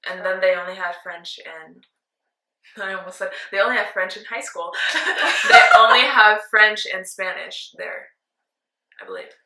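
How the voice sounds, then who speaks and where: A woman's voice: mid-range voice, normal speed, bright, forceful tone. A young woman in her twenties chatting casually and giggling while she has her makeup done at home. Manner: giggly, rambling, fond of laughing. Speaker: co-host getting makeup done. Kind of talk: casual chat. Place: small indoor room at home. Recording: echoey room.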